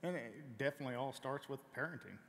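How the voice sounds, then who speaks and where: A man in his thirties, a guest on a church stage, answering in a calm, direct, plainspoken way. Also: medium pitch, fast. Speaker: guest, former Navy SEAL. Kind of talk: onstage interview. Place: large church stage before an audience. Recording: long hall reverb, faint noise.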